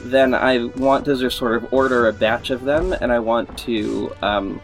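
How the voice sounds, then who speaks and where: A man hosting a podcast gives a casual, unscripted update to his listeners in an enthusiastic, informal, somewhat rambling and halting way. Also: medium pitch, steady tone. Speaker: podcast host and creator. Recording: music under the voice. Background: music.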